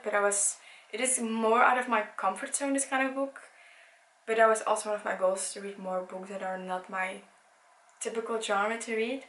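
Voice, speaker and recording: A young Belgian woman who speaks English as a second language, with Dutch as her first, talks through her books in a loose, rambling way, often stopping to correct herself. Her voice sits at a middle pitch. The recording has a roomy echo. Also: a bit bright. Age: young adult. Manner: chatty, rambling, many restarts. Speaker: book vlogger. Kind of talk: casual book review. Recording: echoey room.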